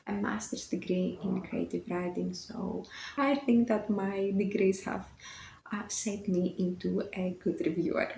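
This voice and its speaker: A woman's voice: mid-range voice, gravelly, a little mellow, reverberant, slight background noise, low-quality recording. A Greek woman in her twenties with a Greek accent, speaking English as a second language, chatting casually to camera about books, a bit self-conscious and laughing often. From Greece. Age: 20s. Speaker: book vlogger.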